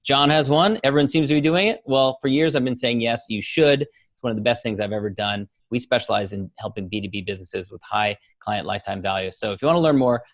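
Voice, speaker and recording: A man speaks as a podcast host at an average male pitch. His delivery is conversational and presentational, suited to introductions and interviews. The sound is dry, with very little room in it. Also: quick pace, no room sound.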